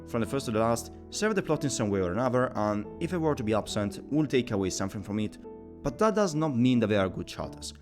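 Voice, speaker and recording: Man, game reviewer, scripted narration, animated intonation, brisk pace, music behind. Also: mid-range voice. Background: background music.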